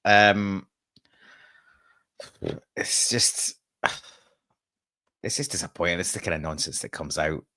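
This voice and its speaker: A man's voice: low-pitched, slow pace, pauses often, bright, forceful tone, no echo. A man from Australia, a guest on a football podcast, giving blunt opinions with frequent hesitations. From Perth, Australia. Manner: opinionated, hesitant with many ums. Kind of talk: casual football podcast chat.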